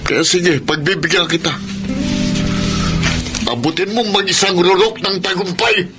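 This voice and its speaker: Reproachful husband over loud music. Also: male voice, sits high, measured pace. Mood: hurt, accusing. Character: hurt, reproachful young husband, proud and resolute. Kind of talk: Filipino radio drama acting. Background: dramatic music.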